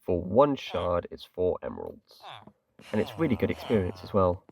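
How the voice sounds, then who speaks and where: A man's voice: low-pitched, huge pitch swings, normal speed, creaky, a little mellow, distant mic. A man with an English accent chatting casually and a bit ramblingly as he talks through his game. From England. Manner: chatty, rambling, self-deprecating, lots of fillers like "kinda". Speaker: gaming channel host. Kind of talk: casual gameplay commentary.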